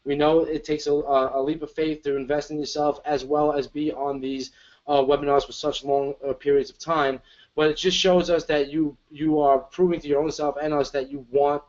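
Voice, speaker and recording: A man co-presenting an online webinar, pitching hard in casual, slangy bro talk over a video call. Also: flat delivery, normal speed, a little mellow, lo-fi sound. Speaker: co-presenter and marketer.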